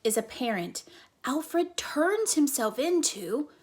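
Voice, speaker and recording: A woman's voice: sits high, very animated, takes it slowly, exaggerated voice. A woman voicing an earnest, cynical young girl who loves comics, explaining things emphatically in a storybook reading. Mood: dramatic, emphatic. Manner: emphatic, instructive.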